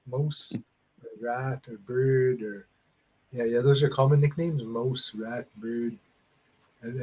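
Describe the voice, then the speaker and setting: A man's voice: medium pitch, breathy edge, low-quality recording. A man from Canada in a casual online conversation lesson, telling rambling stories with many restarts over a video call. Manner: rambling, restarts and laughs, animated storytelling. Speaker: conversation partner.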